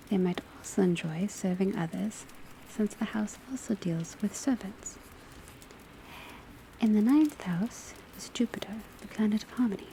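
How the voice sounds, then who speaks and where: A woman playing a professor of divination at a witch school, lecturing in a soft, breathy, measured whisper in an ASMR roleplay. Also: medium pitch, close to an everyday voice. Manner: measured, instructive, hushed. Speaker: teacher. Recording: close ASMR recording with background ambience noise. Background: crackling fireplace, pencil writing, rain on rooftop.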